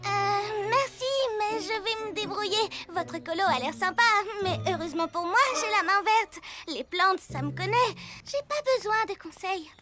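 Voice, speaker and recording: A performer voicing a proud, stubborn six-year-old girl superhero in an animated children's series, assertive and emphatic, with music behind. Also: extremely high pitch, moderate pace. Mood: defensive, proud.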